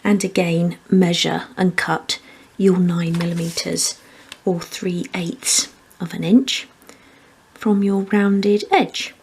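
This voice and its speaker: Low-pitched woman giving step-by-step craft instructions. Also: steady tone, slow pace, darkish tone, slight background noise. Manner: methodical, explanatory. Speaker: craft tutorial presenter. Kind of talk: hands-on step-by-step instructional demonstration.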